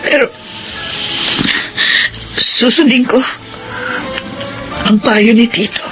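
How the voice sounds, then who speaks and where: High-voiced anguished Filipino eldest sister. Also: female voice, over music, poor audio. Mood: anguished, weary. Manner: slow, pleading, emotional delivery. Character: grieving, burdened but forgiving eldest sister. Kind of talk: radio drama acting.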